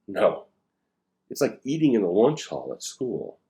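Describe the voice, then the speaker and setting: Man reading a logical schoolgirl spy, low tone. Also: big pitch swings, measured pace, mellow. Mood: dry, confident. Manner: matter-of-fact, explanatory. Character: logical, firm, know-it-all schoolgirl spy. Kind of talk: storybook read-aloud dialogue.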